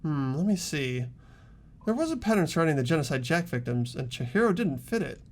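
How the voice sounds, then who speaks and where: A man voicing an earnest, polite teenage boy detective in a game, reasoning aloud in a deliberate, explanatory way. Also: on the high side, big pitch swings. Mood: thoughtful, earnest. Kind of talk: amateur game voice acting.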